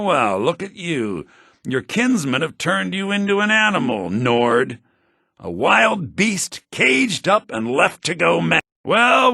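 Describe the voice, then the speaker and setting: Bitter rebel prisoner boss from a game, intense, raspy, really animated pitch. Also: male voice, no echo, clean studio sound, exaggerated voice. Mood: cold, commanding. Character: bitter, vengeful male rebel leader imprisoned in a mine. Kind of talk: video game character dialogue lines.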